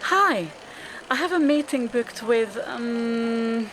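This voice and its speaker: A woman's voice: fairly high pitch, animated, slow pace, background noise, pitched above the performer's own voice. A woman playing a polite, easily flustered professional woman in a radio drama, speaking hesitantly. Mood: flustered, apologetic.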